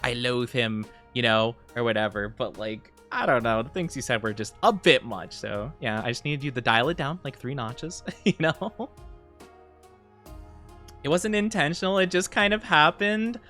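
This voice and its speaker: Male streamer, medium voice, teasing and mock-outraged with chat, slightly worn-out voice, soft music bed. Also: medium pitch, moderate pace. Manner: playful and mock-offended, bursts of laughter. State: losing his voice. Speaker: VTuber streamer. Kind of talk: casual live-stream chat with viewers.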